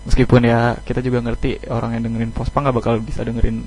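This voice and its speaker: A man's voice: mid-range voice, monotone, quick pace, a little mellow, slight background noise, poor audio. A man speaking as an interviewed band member, casual and colloquial, humble and thankful in manner. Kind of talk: casual radio interview chat.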